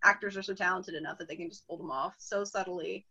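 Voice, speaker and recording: Bright chatty woman guest. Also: fairly low pitch, quick pace, slightly rough. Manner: chatty, frequent "like" fillers, laughs easily. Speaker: podcast guest. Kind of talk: casual podcast chat.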